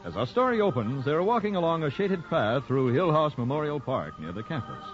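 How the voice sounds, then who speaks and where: A man's voice: fairly high pitch, very animated, a little fast. A man narrating an old radio serial as a grand, nostalgic, theatrical announcer. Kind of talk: 1940s radio drama announcing, scripted narration. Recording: dull vintage 1940s radio recording with music.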